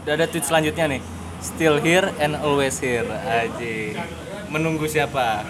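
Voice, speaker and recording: A man's voice: on the high side, steady tone, a little slow, over a noisy crowd. A young man co-hosting a podcast in casual, slangy banter, teasing and mocking with frequent exclamations. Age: young adult. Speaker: podcast co-host.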